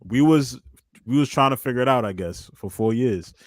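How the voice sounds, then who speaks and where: A man's voice: mid-range voice, lively intonation, moderate pace, no echo, studio quality. A man in his twenties chatting casually as a guest on a panel, in informal, slangy speech. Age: 20s. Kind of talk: casual chat.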